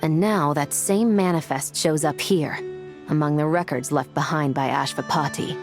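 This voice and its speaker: A woman playing a cool, resolute law enforcement investigator in a video game, measured and serious. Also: deep voice, over music. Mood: analytical.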